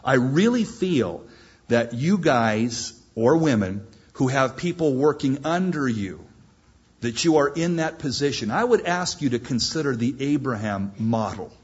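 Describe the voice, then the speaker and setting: Husky-voiced man preaching emphatically. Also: medium pitch, lively intonation, a little slow, muffled recording. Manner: emphatic, repetitive exhortation. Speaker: pastor. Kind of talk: sermon. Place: church.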